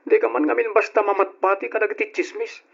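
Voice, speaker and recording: An actor with a Filipino Ilocano accent playing a bossy, defensive husband in an old-fashioned radio drama, scolding and insistent. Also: male voice, high voice, steady tone, a little fast, gravelly, a bit bright, slightly rough, exaggerated voice. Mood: defensive, irritated. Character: bossy, defensive husband and father, easily irritated. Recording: dull lo-fi radio drama recording.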